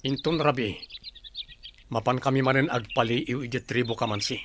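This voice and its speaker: A man's voice: mid-range voice, normal speed, a little hiss or hum, close to an everyday voice. A man with an Ilocano Filipino accent playing a resolute, protective son in a radio drama, earnest and determined. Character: resolute, protective son planning his father's rescue.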